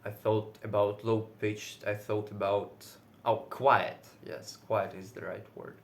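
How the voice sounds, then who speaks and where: A man whose first language is Russian, speaking English with a Russian accent in casual solo talk, now and then pausing to search for words and swearing lightly. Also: fairly low pitch, little pitch movement. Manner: says he is loud most of the time. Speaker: video creator.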